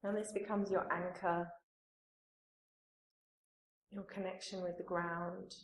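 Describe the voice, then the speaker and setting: A woman yoga teacher leading a guided meditation in a calm, soothing manner, with pauses between phrases. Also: fairly low pitch. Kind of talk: guided meditation, gentle spoken instruction. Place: indoor room, live training course class. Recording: roomy echo, live class recording.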